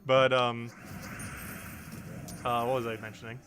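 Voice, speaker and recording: A young man with a low voice, chatting casually on a live stream while he games, wandering off on tangents and laughing often. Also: flat delivery, unhurried, fairly bright tone, faint music behind. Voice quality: low-pitched voice.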